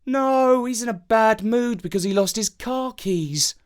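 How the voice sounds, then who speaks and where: A narrator voicing an eager, excitable young boy in a children's storybook. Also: mid-range voice, measured pace, pitched above the performer's own voice, lightly acted. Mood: matter-of-fact.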